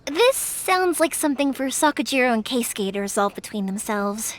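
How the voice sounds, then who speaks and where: A woman's voice: on the high side, animated, moderate pace, a little hiss or hum, natural voice. An actress playing a kind, spirited young woman in a video game, protective and upbeat. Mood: understanding. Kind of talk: English video game voice acting.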